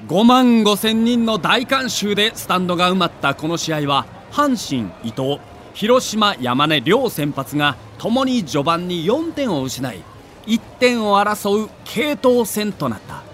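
A man's voice: high voice, very animated, unhurried, fairly bright tone, slight background noise. A man narrating a sports documentary in a theatrical, emphatic storytelling manner.

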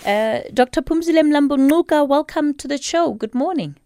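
A South African woman hosting a live radio talk show, speaking in a measured, emphatic way and repeating phrases for weight. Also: high-pitched, animated, dark tone, a little hiss or hum.

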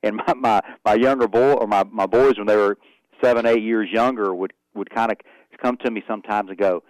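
A man in his forties with a Southern accent speaks as a radio guest, with a low and smooth voice. He talks in a folksy way, often stumbling over and repeating words. The sound is close and dry. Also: fairly even intonation, fairly quick, no echo, poor audio. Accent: Southern US (Oklahoma). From Oklahoma, US. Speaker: guest, athletics director. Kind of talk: radio interview.

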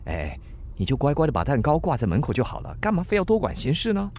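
A man's voice: fairly high pitch, huge pitch swings, quick pace, darkish tone. A man playing a teasing, cynical young delivery sidekick, grumbling theatrically in a radio drama. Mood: sarcastic.

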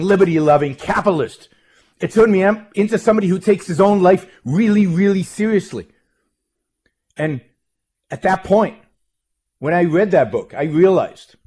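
A man's voice: fairly high pitch, darkish tone, breathy edge. A man from Israel with an Israeli accent, speaking as a radio host about himself in an earnest monologue that repeats words as he builds his points. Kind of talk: personal introduction monologue.

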